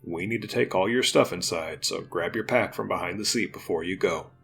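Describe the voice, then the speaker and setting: A man acts out a gruff but tender uncle in an audio drama. He is earnest, folksy and plainspoken, with gravel in his voice. Music plays faintly behind him. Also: deep voice, fairly quick, a bit bright. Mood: instructing.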